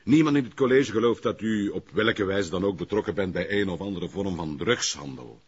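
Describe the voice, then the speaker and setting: An actor playing a pompous, evasive middle-aged burgomaster in a TV crime drama, with formal, wordy phrasing and a Flemish Belgian accent. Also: male voice, low-pitched, brisk, husky. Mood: formal, firm. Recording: muffled, lo-fi broadcast audio.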